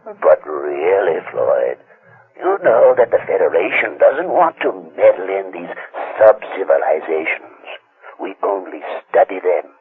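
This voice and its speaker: A man's voice: sits low, animated, a little slow, slightly rough, narrow, tinny audio, full character voice. A man acting in a radio drama as a condescending, controlling male handler, avuncular yet coldly menacing, with theatrical emphasis. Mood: smooth, dismissive. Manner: patronizing, theatrical, swooping emphasis. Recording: thin, band-limited old radio sound.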